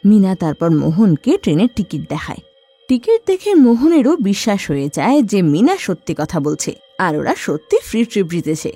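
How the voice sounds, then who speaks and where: A woman narrating a horror story in a lively storytelling manner. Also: mid-range voice, moderate pace, husky, faint music behind.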